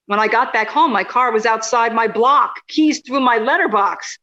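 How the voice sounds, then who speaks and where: Woman playing a high-voiced, sarcastic lady reporter. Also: normal speed, sharp tone, lo-fi sound. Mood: wry. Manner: sarcastic, wry. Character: sarcastic, indignant woman radio journalist, kidnapped by witches. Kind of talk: radio play dialogue.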